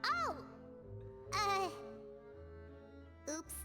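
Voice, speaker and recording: A woman's voice: very high-pitched, sing-song, very slow, over music, full character voice. A woman voicing a small, bubbly, blunt fairy companion in a video game, with a chirpy, exaggerated delivery. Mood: sheepish.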